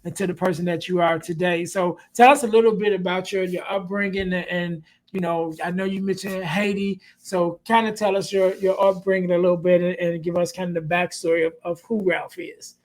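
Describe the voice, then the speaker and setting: A man's voice: high voice, flat delivery, a little fast, hardly any pauses. A male podcast host asks a guest about his upbringing in a hesitant, rambling way. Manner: hesitant, full of fillers and restarts while asking. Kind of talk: interview questions.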